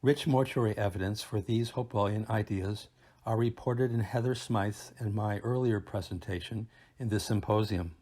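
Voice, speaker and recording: A man reading a scripted academic presentation aloud in a formal, measured and deliberate manner. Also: lowish voice, a little mellow. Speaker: academic researcher presenting a paper.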